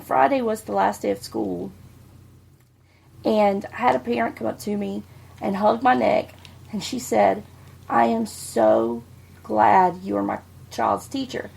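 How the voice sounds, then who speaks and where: Gravelly, husky woman. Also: mid-range voice, darkish tone. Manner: blunt, swears freely. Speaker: podcast co-host. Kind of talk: casual chat.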